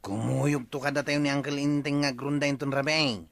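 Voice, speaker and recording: A man's voice: medium pitch, fairly even intonation, a little fast, creaky, dry recording, clean studio sound, close to an everyday voice. An actor voicing a sly young man who schemes and wheedles in a comedy radio drama. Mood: scheming.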